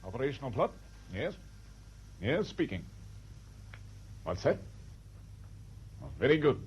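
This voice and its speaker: An actor playing a dry senior British naval operations officer in a radio drama, with a husky voice. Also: male voice, mid-range voice, big pitch swings, a little slow, background noise. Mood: businesslike. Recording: dull old radio drama sound.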